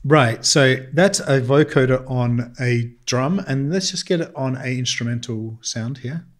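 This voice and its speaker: A man giving an informal, step-by-step tutorial on audio software, explaining each setting as he goes. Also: mid-range voice, unhurried. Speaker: music production tutor. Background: music and processed audio demos.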